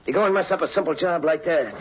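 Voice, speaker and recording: An actor playing a vengeful, jumpy paroled gangster boss in 1940s radio crime drama, talking in tough slang. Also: male voice, a little high, fast talker, slightly rough. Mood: irritated. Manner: slangy tough-guy talk. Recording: dull, noisy 1940s radio recording.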